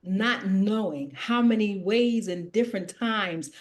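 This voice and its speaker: This is a woman guest on a video call who speaks with earnest encouragement. Her voice sits at a middle pitch, and she talks in heartfelt, blessing-filled phrases. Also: a little slow.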